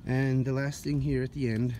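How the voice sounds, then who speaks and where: A man's voice: mid-range voice, level tone, moderate pace, dark tone. A man giving a casual, unscripted garden-tour narration as he walks outdoors, recorded on a handheld phone. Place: outdoors in a residential front yard, walking. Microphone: handheld phone held by the speaker. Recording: noisy outdoor phone recording, dogs around.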